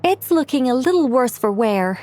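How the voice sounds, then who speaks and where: A woman playing a knowing, composed and analytical character in an anime game, explaining things in a measured way. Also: sits high, big pitch swings, warm tone. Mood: thoughtful. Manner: measured, explanatory, matter-of-fact. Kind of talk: anime game dub acting.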